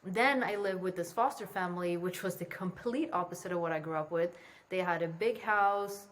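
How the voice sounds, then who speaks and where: A woman's voice: lowish voice, moderate pace. A young woman who grew up in Sweden, a podcast guest talking casually and candidly, swearing freely, calling in remotely. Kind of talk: casual interview conversation. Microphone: remote call from home.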